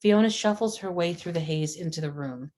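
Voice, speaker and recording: Female narrator for script stage directions, deep register, scripted narration. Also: a little fast, mellow.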